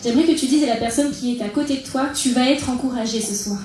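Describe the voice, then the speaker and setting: A woman's voice: on the high side, quick pace, a loud crowd. A woman interpreter promptly relaying a preacher's words phrase by phrase, with small restarts. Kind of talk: live consecutive interpreting. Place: church hall. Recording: very echoey hall.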